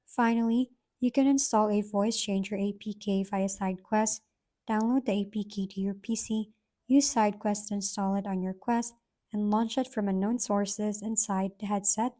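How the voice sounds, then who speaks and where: A woman's voice: mid-range voice, fairly even intonation, normal speed, dark tone. A woman hosting a tech tutorial, reading scripted step-by-step instructions.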